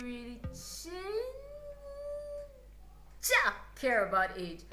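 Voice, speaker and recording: A woman's voice: medium pitch, echoey room. A young woman from Nigeria, chatting casually to camera in her vlog and repeating words for emphasis. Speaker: vlogger.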